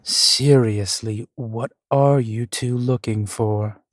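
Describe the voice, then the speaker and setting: A man's voice: medium pitch, fairly even intonation, slow, dry recording, studio quality, natural voice. A man playing a grumpy, jealous ghost boyfriend in an audio roleplay, with a sardonic, sullen manner. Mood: sardonic, needling.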